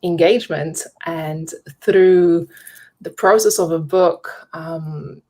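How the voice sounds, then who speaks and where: A woman's voice: fairly low pitch, fairly even intonation, takes it slowly, dark tone. A woman in her thirties from Lithuania, a Lithuanian native speaker, openly sharing her story on a video call with many fillers. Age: 30s. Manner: open, reflective, many fillers. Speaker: guest author.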